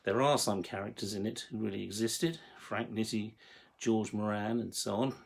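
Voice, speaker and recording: A man giving a prepared explanatory monologue as a writer and producer, speaking in a measured, articulate way with emphatic phrasing. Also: lowish voice, fairly even intonation, creaky, husky. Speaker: writer and producer introducing his audio drama series.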